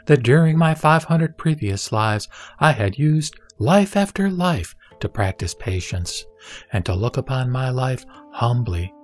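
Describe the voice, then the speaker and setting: A man playing a serene, authoritative spiritual teacher, measured and didactic, in a scripted sutra audio drama. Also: mid-range voice, close to an everyday voice. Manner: solemn, measured, didactic delivery. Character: the Buddha, serene, authoritative male spiritual teacher instructing a disciple.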